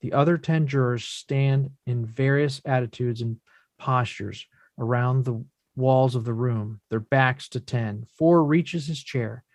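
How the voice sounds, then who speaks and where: A man narrates the stage directions of a play from a script. His delivery is measured and descriptive, in a middle pitch. The recording is dry, with very little room sound. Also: fairly even intonation, darkish tone, no echo.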